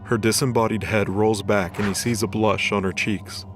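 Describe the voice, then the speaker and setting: A man's voice: fairly low pitch, little pitch movement, normal speed, soft background music. A man narrating a scripted dramatic story as its narrator.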